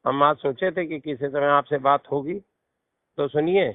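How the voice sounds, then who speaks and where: A man's voice: on the high side, unhurried, dry recording. A man in a private recorded phone conversation, talking in a defensive, placating manner. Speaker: caller, politician. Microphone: phone line. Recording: tinny, band-limited recorded phone call.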